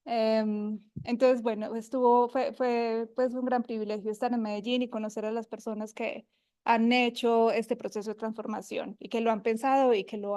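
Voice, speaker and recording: Colombian woman, guest researcher, friendly talk with fillers, higher voice, dry close mic. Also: warm tone, no echo. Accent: Colombian, from Armenia (coffee region). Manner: friendly, with many fillers and restarts. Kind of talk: academic presentation to an audience. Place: university seminar room.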